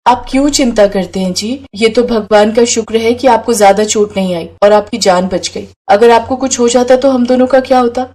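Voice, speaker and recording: A woman's voice: a little high, moderate pace, hardly any pauses, mellow, close to an everyday voice. A woman voicing a poor, devoted young wife and mother in a moral story, speaking in an earnest, plaintive way. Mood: reassuring, earnest.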